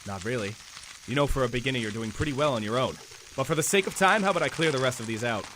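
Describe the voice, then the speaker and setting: An actor playing a stern, dry-witted superhero team leader who gives clipped orders in an audio drama. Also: male voice, medium pitch, animated, fast, noisy background, natural voice. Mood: dry, commanding.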